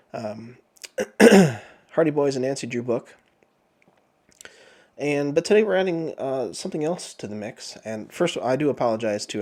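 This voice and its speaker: Male host, under the weather with flu, rambling casual delivery, frequent throat clearing, mid-pitched. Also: a little mellow. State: sick with the flu, voice sounds off. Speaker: book review channel host. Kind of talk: casual chat.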